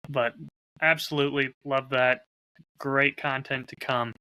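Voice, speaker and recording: A man hosts a casual podcast chat. His voice is very bright and forceful at a middle pitch. He comes across as upbeat and enthusiastic. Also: little pitch movement, measured pace, clear, resonant tone, low-quality recording. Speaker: podcast host and audio drama creator.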